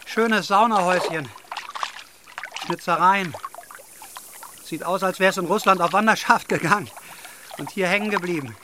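A shy, squeamish city man, played by a male actor in an audio drama. His voice sits slightly high and he speaks with fastidious hesitation. There is some noise around him. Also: lightly acted. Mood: awkward, polite. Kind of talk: German audio drama acting.

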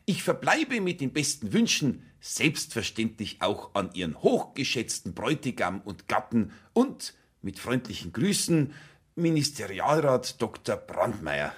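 A man's voice: mid-range voice, big pitch swings, measured pace, slightly rough. A man giving a dramatic reading of official letters in a mock-formal, emphatic manner.

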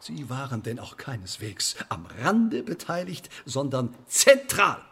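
An actor in a radio play voicing a pompous, pedantic sheriff who interrogates a suspect in stiff bureaucratic language. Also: male voice, medium pitch, very animated, measured pace, fairly bright tone, husky, heavily acted. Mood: accusing, officious.

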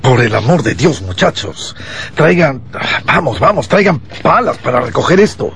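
An actor playing a brisk, practical police inspector in a radio drama, talking in a businesslike, commanding way. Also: male voice, medium pitch, very animated, vocal fry, fairly bright tone. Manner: businesslike, giving orders.

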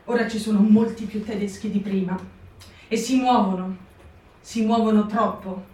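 A woman's voice: mid-range voice, fairly even intonation, moderate pace, dark tone. A woman giving a performed literary reading, narrating in an intimate, vivid way. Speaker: reader. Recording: roomy live stage, distant mic.

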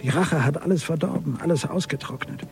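A bitter, fatalistic father in a radio crime play. His lowish, gravelly voice is grave and deliberate, with music clearly behind it. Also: darkish tone. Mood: despairing, brooding. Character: embittered middle-aged father, trapped and fatalistic avenger. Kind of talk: radio crime drama, dialogue and monologue.

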